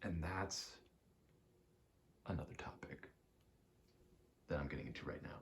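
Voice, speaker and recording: A soft-spoken man rambling casually in ASMR style, meandering and murmuring, often dropping toward a whisper. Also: a bass voice, unhurried. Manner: meandering, self-correcting, casually sweary. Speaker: video maker rambling over gameplay. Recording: faint background noise.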